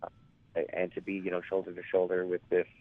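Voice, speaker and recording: Raspy low-voiced man on a phone line. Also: monotone, brisk, no echo. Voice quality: raspy, hoarse voice. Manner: rambling, many fillers and restarts. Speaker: actor guest. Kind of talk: casual interview storytelling.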